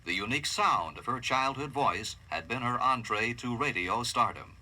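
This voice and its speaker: A man's voice: mid-range voice, animated, normal speed, bright, forceful tone. A man reading scripted documentary narration about radio history.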